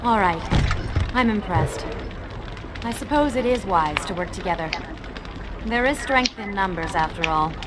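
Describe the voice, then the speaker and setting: A woman's voice: medium pitch, animated, unhurried, long pauses, heavy background noise, natural voice. A woman playing a proud young warrior princess in a video game, assertive and pointed in her delivery. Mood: guarded. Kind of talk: video game cutscene acting. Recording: loud music behind the voice.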